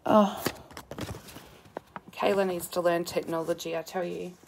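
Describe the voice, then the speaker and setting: A young woman with a croaky, sore-throated voice, chatting casually with viewers while she hosts a crafting live stream from her desk at home, laughing often and greeting commenters by name. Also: fairly low pitch, level tone, measured pace, noisy background. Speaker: paper-craft demonstrator hosting a live stream. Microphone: phone camera.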